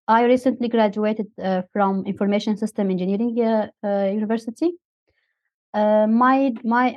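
A woman in her thirties from Syria tells her story in careful, halting English that is full of soft fillers. Her voice sits at an ordinary pitch and sounds dry and close. The recording is a little dull and lo-fi. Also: dry recording. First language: Arabic. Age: 30s. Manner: hesitant, many uh fillers, non-native phrasing. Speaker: guest. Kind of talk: interview storytelling.

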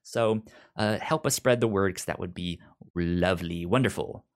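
A man's voice: low-pitched, moderate pace. A man hosting a podcast in a casual, chatty style, with a stutter that repeats sounds and syllables. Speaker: podcast host.

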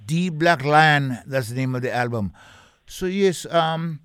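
Smooth-voiced radio host man. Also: fairly high pitch, lively intonation, unhurried, a bit bright, no room sound, studio quality. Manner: affirming, repeats himself, casual. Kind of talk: radio interview.

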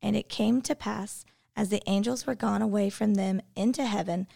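A woman's voice: mid-range voice, fairly even intonation, moderate pace, gravelly, dark tone, dry recording, clean studio sound. A woman who is an English teacher, giving an earnest personal devotional reflection.

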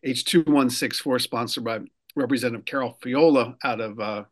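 A man from New Jersey, a political consultant, explaining a law in a radio interview, pausing often with fillers and restarts. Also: mid-range voice. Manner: measured, many fillers and restarts. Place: lives in Rhode Island.

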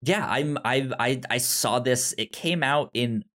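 A man co-hosting a casual review podcast, talking in a halting way with some stammering. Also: mid-range voice, a bit bright. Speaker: podcast co-host.

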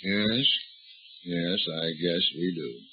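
An actor playing a shrewd, folksy older police detective, drawling and calmly needling a suspect in a 1930s radio drama. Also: male voice, deep voice, slow pace. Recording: dull old radio recording with faint hiss.

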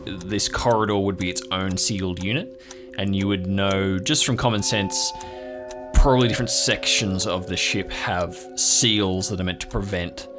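A man's voice: low-pitched, moderate pace, music in the background. A man narrating an improvised horror tabletop game as game master, in a descriptive, suspenseful storytelling manner.

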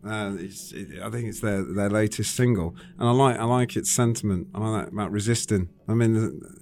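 Brief: male radio guest, earnest, halting delivery. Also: fairly low pitch, creaky, darkish tone. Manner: hesitant, many fillers and restarts, earnest. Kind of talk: casual radio chat.

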